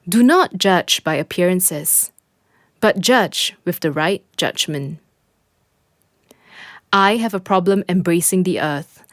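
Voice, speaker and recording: A woman's voice: lowish voice, no echo. Woman reading in a very dry recording. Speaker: podcast reader and host. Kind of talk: scripted narration of a personal reflection.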